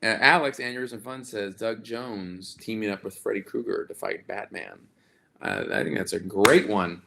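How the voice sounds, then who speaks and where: A man hosting a live chat with viewers, his voice a little raspy and quite bright and forward. He talks in a loose, rambling way, full of fillers and restarts, pitched somewhat low. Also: animated, moderate pace, slight background noise. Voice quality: slightly raspy. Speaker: livestream host.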